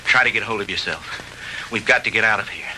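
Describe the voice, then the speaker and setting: A man's voice: fairly low pitch, fast, pushed and bright, slight background noise, low-quality recording. An actor playing a protective, reassuring but urgent leading man in a 1960s B-movie. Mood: soothing.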